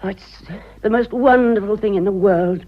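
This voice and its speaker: An actress playing a vain, theatrical aging British society wife in a radio drama, grand, emphatic and melodramatic. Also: female voice, mid-range voice, normal speed, lightly acted. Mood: reflective. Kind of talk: radio drama acting of a stage comedy. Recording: dull lo-fi radio drama recording.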